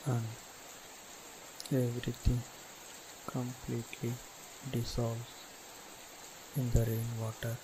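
Very slow-speaking man guiding meditation. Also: mid-range voice, monotone, background noise. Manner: soothing, deliberate, short phrases with long pauses. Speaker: meditation guide. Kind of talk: guided meditation instruction.

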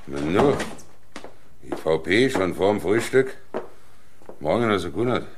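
Deep, gruff foreman. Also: lively intonation, slow, background noise. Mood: defensive. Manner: blunt, terse. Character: gruff, defensive male construction brigade foreman. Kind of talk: German radio crime drama acting.

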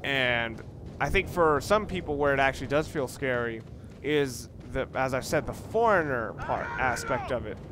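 Man, mid pitch, casual game commentator, rambling, rephrases often, noisy game sound behind. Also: moderate pace. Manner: rambling, repeats and rephrases himself. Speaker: Let's Play commentator. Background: video game ambience.

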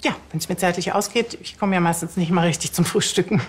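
A woman's voice: on the low side, fast talker, slightly rough. An actress playing a middle-aged woman doctor, polite, hesitant and a little evasive, in a TV drama. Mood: flustered, polite. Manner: hesitant, apologetic. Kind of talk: TV drama acting.

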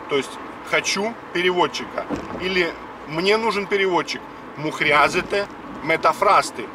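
A man in his fifties, host of a casual spoken lesson, explaining words one by one while driving. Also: a little high. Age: 50s. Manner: chatty, explains word by word. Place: car on the road. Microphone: dashboard camera. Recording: distant car mic with road noise.

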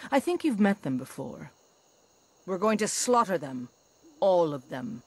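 A fierce, proud werewolf huntress. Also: female voice, lowish voice, sing-song, a little slow, darkish tone, dry recording, exaggerated voice. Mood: confident, grim relish. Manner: blunt, confident, scornful of cowards. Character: fierce, proud huntress warrior, werewolf loyal to her pack. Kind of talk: video game character dialogue.

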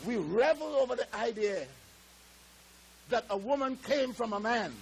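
A man in his seventies, from Trinidad, preaching a sermon on a church stage through a headset mic, stretching words and breaking into shouted exclamations. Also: very high voice, moderate pace, vocal fry, slight background noise. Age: 70s. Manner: moves and gestures around the pulpit. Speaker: pastor. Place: church stage with drum kit.

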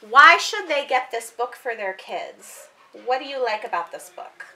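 Bright-voiced woman chatting encouragingly. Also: fairly high pitch, very animated, a little slow. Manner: encouraging, echoes back the child's words. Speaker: book vlogger and mother interviewing her young child. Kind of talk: casual chat, coaxing questions to a young child. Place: small room with bookshelves, indoors.

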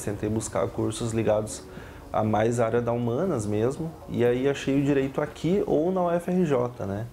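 Mellow-voiced male student. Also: mid-range voice, normal speed, soft background music. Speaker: law student. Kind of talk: interview testimony.

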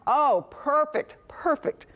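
A woman's voice: high-pitched, slow, fairly bright tone, low-quality recording. Middle-aged woman, food host, gushing studio TV chat on a lapel mic. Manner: enthusiastic, gushing. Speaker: food show host, guest. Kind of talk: morning TV food segment chat. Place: TV news studio.

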